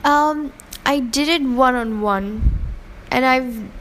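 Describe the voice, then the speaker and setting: A woman's voice: high-pitched, slow pace, a bit bright, a little hiss or hum, low-quality recording. A woman from Pakistan who grew up in Hong Kong, giving earnest, opinionated interview answers with fillers and restarts. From Pakistan, raised in Hong Kong.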